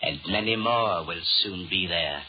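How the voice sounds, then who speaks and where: A man's voice: deep voice, unhurried. A man playing a suave, sinister Devil in a 1930s radio horror drama, formal and courtly, mocking and commanding. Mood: coaxing, menacing. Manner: formal, courtly, menacing, theatrical. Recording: muffled, noisy 1930s radio recording.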